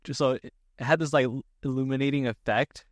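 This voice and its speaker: A man's voice: medium pitch, fairly even intonation, fast, no echo, studio quality. A man hosting a casual conversation, talking in meandering, thoughtful phrases. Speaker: podcast host. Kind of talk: casual interview chat.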